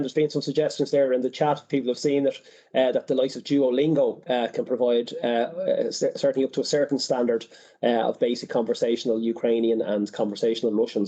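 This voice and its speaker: A man moderating an online panel, speaking in a measured way with many fillers. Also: medium pitch, dark tone. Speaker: webinar moderator. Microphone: video call. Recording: muffled video call audio.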